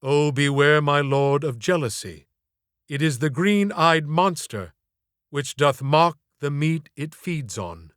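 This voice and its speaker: An exacting schoolmistress as a male narrator plays her in a storybook reading. She speaks slowly and declaims, probing and encouraging her pupils. The sound is close and dry. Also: on the high side, animated, a bit bright, smooth, no room sound. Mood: commanding, declamatory. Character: challenging, encouraging female schoolteacher, theatrical and exacting.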